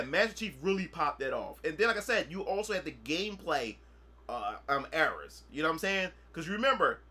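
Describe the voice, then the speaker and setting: A man in his thirties talks loosely to his stream audience, circling back over the same points. His voice sits high for a man and has a bright, sharp edge. He keeps the tone casual and conversational throughout. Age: 30s. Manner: rambling, repetitive, often asks "you know what I'm saying". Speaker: gaming streamer. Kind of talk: casual livestream chat.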